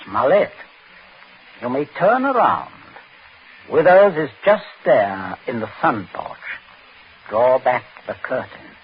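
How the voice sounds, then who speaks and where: Casting: smooth, menacing father in a radio thriller, lively swooping delivery, muffled vintage recording. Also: mid-range voice, takes it slowly, pauses often, a little hiss or hum. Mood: cold, menacing. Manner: smooth, commanding, genteel. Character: cold, controlling English father coercing at gunpoint, falsely genial. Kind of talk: 1940s radio acting.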